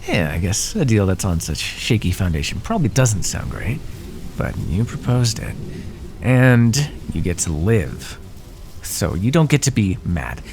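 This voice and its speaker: A man's voice: lowish voice, big pitch swings, a little slow, background noise. A man voicing a bored, teasing, menacing naga predator in an ASMR fantasy roleplay, speaking with casual, dry condescension. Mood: teasing menace. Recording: echoey, long cave-like reverb.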